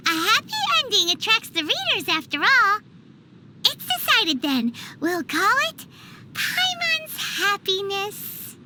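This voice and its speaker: A tiny childlike fairy sidekick from an anime-style game, squeaky and extremely high. The pitch bounces around wildly, yet the cheeky, excitable delivery takes its time. Loud noise sits behind the voice. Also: female voice, takes it slowly, heavily acted. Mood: eager. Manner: speaks of herself in the third person, exclamatory.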